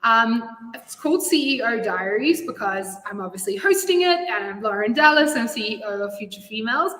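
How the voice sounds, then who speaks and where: A woman's voice: fairly high pitch, sharp tone. A woman hosting a live stream as CEO, upbeat and often laughing as she greets viewers. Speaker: host and CEO. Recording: echoey room with long reverb.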